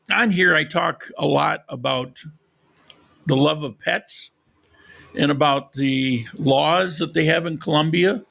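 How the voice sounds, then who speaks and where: A man's voice: a little high, gravelly, bright, forceful tone. A man hosting a live podcast, rambling casually with frequent restarts and losing his voice. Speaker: podcast host. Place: lives in Colombia.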